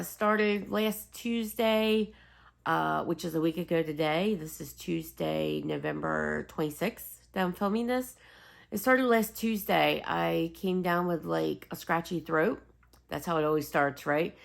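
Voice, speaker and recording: Woman vlogger w low croaky voice, getting over a cold, kinda raspy n sniffly, chatty and apologetic. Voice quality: slightly raspy. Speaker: host of a home fragrance video channel. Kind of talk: casual rambling vlog chat.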